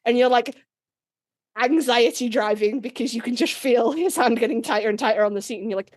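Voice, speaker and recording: High-voiced woman, casual podcast co-host. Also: a little fast. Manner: animated storytelling, freely swearing. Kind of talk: casual, joking fan podcast chat.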